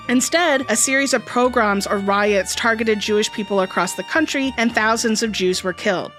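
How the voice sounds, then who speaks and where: A woman's voice: a little high, a bit bright. A woman hosting an educational video, narrating history from a script in a storytelling way with dry, wry asides.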